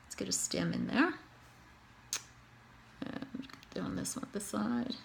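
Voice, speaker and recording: A woman's voice: medium pitch, lively intonation, slow, creaky, slight background noise. A Canadian woman with a Canadian accent, chatting casually and thinking aloud as she demonstrates face painting on herself. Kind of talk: casual tutorial narration while painting herself.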